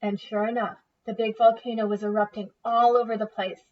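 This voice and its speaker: A woman reads a picture book aloud like a schoolteacher, with expressive storytelling. Her voice is high, and the recording is distant and a little muffled. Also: fairly quick. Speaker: first-grade teacher reading aloud.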